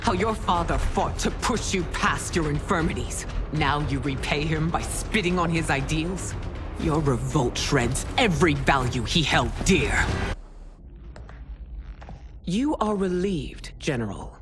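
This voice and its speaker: An actress playing a proud warrior empress in a game cutscene, speaking formally and with command, betrayed and wrathful. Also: female voice, sits low, lively intonation, a little slow. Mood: cold scorn. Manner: formal, commanding, regal speech. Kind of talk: dramatic video game cutscene acting. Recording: dramatic music behind.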